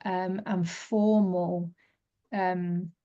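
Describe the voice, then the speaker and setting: A woman's voice: lowish voice, flat delivery, extremely slow, mellow. A middle-aged woman in a discussion group, thinking aloud hesitantly with many fillers. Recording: dull lo-fi sound.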